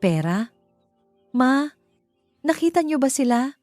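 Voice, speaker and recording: A woman's voice: high-pitched, very animated, takes it slowly, a little mellow, dry recording, faint music behind, pitched above the performer's own voice, exaggerated voice. Woman voicing an emotional, earnest young father. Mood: anguished. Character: anguished adult man, betrayed son turned tender, protective father.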